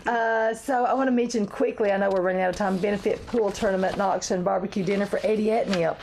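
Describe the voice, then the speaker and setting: Woman host with a raspy voice, mellow tone, chatty local TV style. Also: medium pitch, fairly even intonation, fast, a little hiss or hum. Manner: chatty, laughs often. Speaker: chamber of commerce talk show host. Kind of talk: casual local TV chat. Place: local TV studio.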